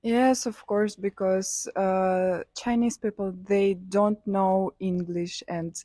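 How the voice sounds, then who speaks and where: Slow hesitant young Kazakh woman. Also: mid-range voice, fairly even intonation, mellow, no room sound. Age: young adult. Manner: hesitant, many fillers, simple non-native English. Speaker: interviewee, student. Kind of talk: street interview answers.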